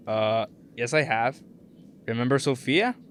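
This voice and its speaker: A man's voice: mid-range voice, a little slow, slight background noise, close to an everyday voice. An actor playing an earnest, shy young man in an audio drama, with a hesitant way of talking. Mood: casual, unsure. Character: John, devoted young husband, also shy teenage student.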